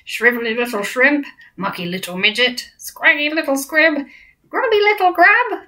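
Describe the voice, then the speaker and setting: A woman voicing a pack of brutish male man-eating giants who shout taunts in a storybook reading. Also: high-pitched, expressive, moderate pace, pitched above the performer's own voice. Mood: jeering.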